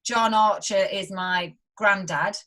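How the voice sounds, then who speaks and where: A young woman being interviewed as a guest researcher, talking animatedly, laughing often and acting out what others say. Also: medium pitch. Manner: animated, quick to laugh, acts out quotes. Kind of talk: casual interview chat.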